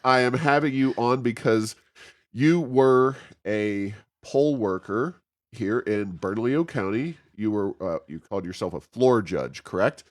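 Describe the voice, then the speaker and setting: An American man hosting a conversational interview, speaking haltingly as he searches for his words. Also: medium pitch, lively intonation. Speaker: podcast host and think tank president.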